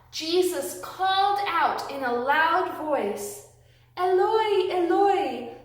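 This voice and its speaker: A woman reading aloud and teaching young children, with simple, deliberate, emphatic phrasing, recorded in a classroom. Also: sits high, fairly bright tone. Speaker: children's Bible storytime host, reading aloud. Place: indoors, a classroom. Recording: very echoey room, distant microphone.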